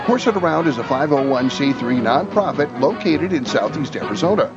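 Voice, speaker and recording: A man's voice: a little high, animated, brisk, highs cut off, music in the background. An American man hosting a radio show, talking casually and making announcements.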